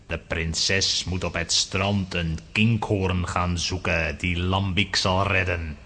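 An actor in a children's audio drama playing a scheming, pompous court astrologer with a solemn, theatrical, formal manner. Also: male voice, deep voice, steady tone, slow pace, sharp tone, long reverb tail, exaggerated voice.

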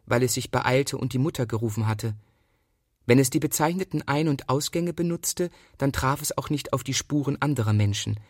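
A man reading a literary text aloud as scripted narration, in a measured and precise manner. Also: medium pitch, no room sound, studio quality. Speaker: reader of literary prose.

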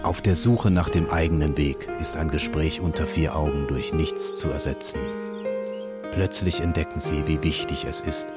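A man's voice: rumbling low, fairly even intonation, moderate pace. A man voicing a scripted radio sponsor message as an earnest, polished announcer. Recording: loud music under the voice.

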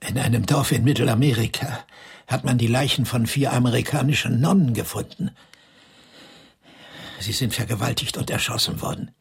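An actor playing an irascible, eccentric older brother and bitter recluse, indignant and grandiloquent, in a German radio drama. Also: male voice, mid-range voice, normal speed, vocal fry, lightly acted. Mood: grim.

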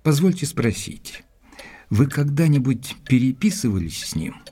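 Gentleman investigator, mellow, slightly husky, measured, polite, formal, audio drama with music behind. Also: medium pitch, sing-song. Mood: polite, probing. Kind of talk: dramatized audiobook narration and acting.

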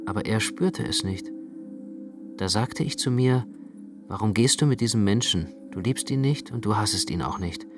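A man narrating literary prose for a radio production in a dramatic, expressive way. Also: lowish voice, moderate pace, music in the background.

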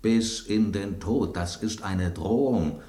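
An actor in a German radio drama playing an aging, worldly bread loaf who loves fine food, speaking in a theatrical, knowing, pointed way. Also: male voice, on the low side, takes it slowly, mellow, echoey room. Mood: suspicious. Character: aging bread loaf, male, worldly gourmet, suspicious, self-assured.

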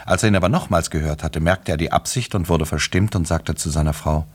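Male narrator, radio drama. Low, rough-edged voice, scripted literary prose told with dry irony. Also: brisk.

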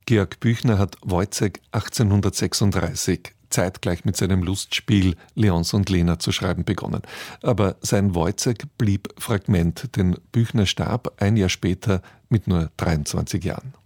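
A male radio presenter reads a scripted introduction in a measured, factual way. His voice is low and husky, recorded very dry. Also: a little mellow, dry recording.

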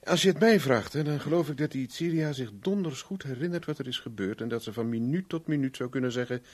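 Male private eye, played by an actor, 1970s radio play: medium-pitched, brisk, ironic, stubborn. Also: darkish tone, natural voice. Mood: pensive.

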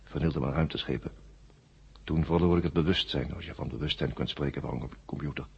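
A man playing an ancient, worn-out war machine brain in a 1970s radio drama, weary and forgetful, in flat, halting, repetitive statements. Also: a bass voice, vocal fry, a little hiss or hum. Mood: weary, explaining. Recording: muffled old radio drama recording.

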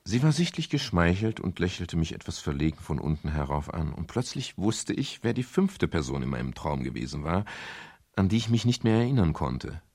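Very deep, courteous, wry detective. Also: male voice, big pitch swings, fairly quick, a little mellow, slightly rough, full character voice. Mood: reflective narration. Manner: hesitant, politely ironic. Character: courteous, wry, easily flustered male private detective. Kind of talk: 1970s German radio crime drama, dialogue and first-person narration.

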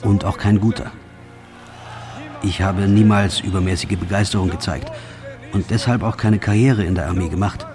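An actor playing a reluctant, disillusioned soldier, narrating a radio drama monologue in a quiet, resigned, brooding manner. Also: male voice, low-pitched, steady tone, moderate pace, pauses often, music in the background, close to an everyday voice. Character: reluctant, disillusioned soldier, former locksmith, male, weary.

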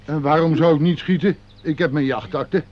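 A stubborn, literal-minded man out hunting played by a male actor talks in a high voice with obstinate matter-of-factness. It is an old radio drama with a muffled sound. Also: normal speed, natural voice. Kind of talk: Dutch radio play acting. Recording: dull old radio recording.

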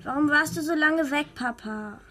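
A female performer playing a bright, persistent young daughter with a direct, probing manner in a children's radio play. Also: high-pitched, normal speed. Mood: matter-of-fact. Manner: direct, probing, matter-of-fact. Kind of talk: German children's radio drama dialogue.

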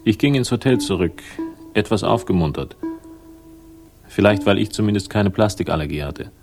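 An actor playing a world-weary private investigator, dry, sardonic and slightly gravelly, in a 1960s German radio crime drama. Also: male voice, on the low side, expressive, normal speed, over music. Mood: wry, brooding. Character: world-weary, wry, tall male private investigator narrating.

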